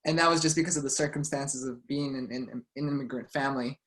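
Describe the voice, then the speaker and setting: A man from Los Angeles, casually introducing himself as co-presenter on a video call, relaxed and chatty with light laughs. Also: mid-range voice, fast talker. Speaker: webinar co-presenter.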